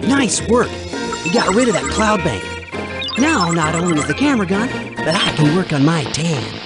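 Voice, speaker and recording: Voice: medium pitch, big pitch swings, normal speed, over loud music, lightly acted. An actor playing a laid-back, food-loving, wisecracking young guy in an educational cartoon game. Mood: upbeat. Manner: playful, wry.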